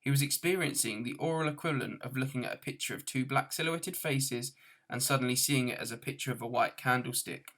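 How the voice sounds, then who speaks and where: A man's voice: medium pitch, brisk, a bit bright. Man reading a comic novel aloud. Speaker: audiobook reader. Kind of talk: scripted storybook narration.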